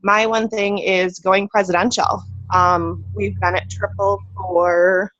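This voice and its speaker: A woman's voice: mid-range voice, little pitch movement, slow pace, a bit bright, no room sound. A woman chatting on a video call from an odd corner of her home, upbeat, with lots of fillers and laughs. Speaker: team leader on a call. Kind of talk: casual video call chat. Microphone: computer mic on a video call. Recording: dull, lo-fi call audio.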